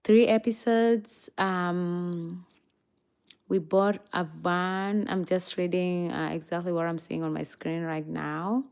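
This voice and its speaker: Slow-paced tired young woman, casual livestream host chatting with viewers. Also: on the low side, fairly even intonation, gravelly, a little mellow, smooth. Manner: reads out chat comments and greets viewers.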